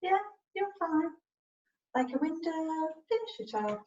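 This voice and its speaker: A woman's voice: very high voice, expressive, a little slow, darkish tone. A woman patiently coaching a student one-to-one, spelling out letters and giving short, encouraging instructions. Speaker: communication support worker helping a student spell and write. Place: indoors, on a video call. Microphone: distant computer mic on video call. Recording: dull, roomy, distant video-call audio with noise.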